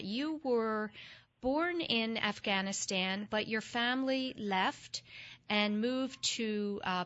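A woman hosts the interview, asking rambling, hesitant questions full of "um" and "uh". Her audio sounds a little dull and muffled. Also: medium pitch. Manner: warm, hesitant questioning with many fillers. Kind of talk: podcast interview.

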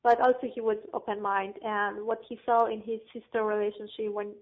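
A woman from Afghanistan, not an English speaker, answering interview questions haltingly with many pauses and fillers. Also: medium pitch, little pitch movement. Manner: halting, searching for words, frequent fillers. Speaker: guest. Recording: tinny phone-like line.

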